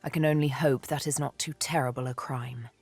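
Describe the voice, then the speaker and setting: A woman's voice: extremely low pitch, fairly even intonation, brisk, darkish tone, slightly rough, dry recording, soft background music. A woman playing a cool, sardonic, vengeful elf assassin in a fantasy game, poised, wry and intimate. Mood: soft, reflective. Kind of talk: fantasy video game acting.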